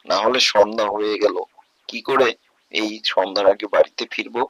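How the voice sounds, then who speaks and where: A man voicing a poor, caring village father in a cartoon story, speaking in an earnest, deliberate way. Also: mid-range voice, pushed and bright, a little hiss or hum, natural voice. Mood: hurried. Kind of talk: Bengali cartoon story dubbing.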